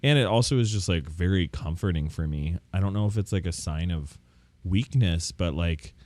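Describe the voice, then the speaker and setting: A man's voice: deep voice, moderate pace, darkish tone, no echo. A man chatting casually and candidly, with campy joking slang and a self-deprecating streak. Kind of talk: casual podcast chat.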